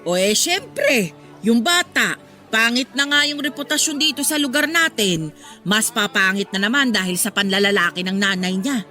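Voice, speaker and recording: A woman's voice: fairly high pitch, animated, normal speed, pushed and bright, soft background music. An actress playing a gossipy, meddlesome Filipino neighborhood mother in a radio drama, chatty and prying. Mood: gossiping. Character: gossipy, meddlesome older neighborhood mother, kindly but nosy. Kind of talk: Tagalog radio drama acting.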